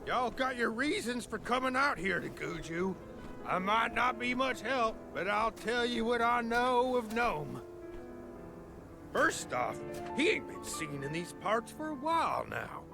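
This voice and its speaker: A folksy game villager with a high, gravelly voice and a drawl. He tells things like a small-town storyteller, easygoing and peaceable, with a very animated rise and fall, over clear background music. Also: unhurried, full character voice. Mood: helpful, easygoing. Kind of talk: video game cutscene acting.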